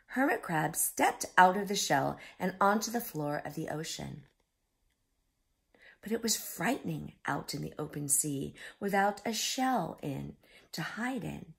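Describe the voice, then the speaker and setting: A woman tells a children's story in an expressive read-aloud style. She takes her time, stretching words for emphasis, and her pitch moves widely. Her slightly low voice has a creaky edge. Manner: explains simply, with drawn-out emphasis. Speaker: children's story reader and teacher.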